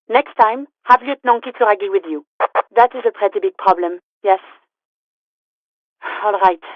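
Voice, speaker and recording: A thin two-way radio channel carries a female police dispatcher from a video game. Her voice sits fairly high and is close and dry beneath the filter. She is formal and patient, with a clipped, official courtesy. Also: dry recording. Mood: businesslike, wary. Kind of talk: scripted video game voice lines. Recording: tinny, narrow-band two-way radio.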